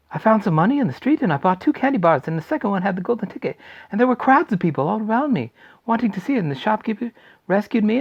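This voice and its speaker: A thrilled young boy in a storybook reading, loud and high as he blurts everything out in a rush, as a man puts him on. His pitch jumps about a lot. The recording sounds dull and lo-fi. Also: slightly rough, exaggerated voice. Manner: shouting, tumbling rush of words. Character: breathless, overjoyed young boy. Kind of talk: audiobook character voice.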